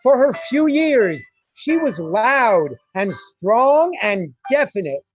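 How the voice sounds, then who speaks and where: A woman giving a theatrical, deliberate scripted narration for a suspense radio drama. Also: fairly high pitch, very animated, husky. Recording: muffled, music behind. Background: music.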